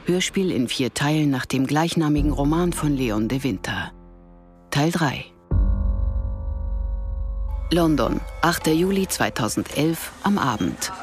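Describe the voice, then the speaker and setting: A man's voice: on the high side, lively intonation, measured pace, pauses often. A man playing a formal announcer, reading scripted titles for a German radio drama. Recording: music behind the voice.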